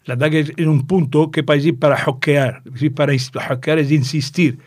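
An older man from Tangier with a northern Moroccan Judeo-Spanish accent, chatting as an interview guest in a playful, digressive storytelling way and pausing to explain words. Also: a little high, steady tone, a little mellow, dry recording, clean studio sound. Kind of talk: conversational storytelling.

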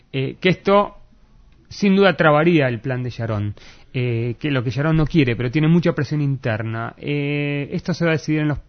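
An Argentine man with a moderately high voice explains things in an interview setting. He feels his way through sentences, pausing for the right word and repeating key ones to press his point. The sound is dull and a little lo-fi. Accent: Argentine Spanish. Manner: halting, searching for words, repeating key words for emphasis. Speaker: interviewee giving political analysis. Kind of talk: spoken interview, explanatory analysis.

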